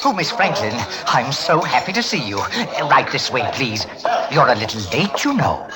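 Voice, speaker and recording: A man's voice: medium pitch, big pitch swings, moderate pace, lightly acted. Actor as a fussy, flustered little man, very swoopy. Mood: flustered, fawning. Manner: flustered, gushing, hesitant. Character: fussy, startled little bald society host. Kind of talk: 1940s radio drama acting. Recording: old radio broadcast with music underneath.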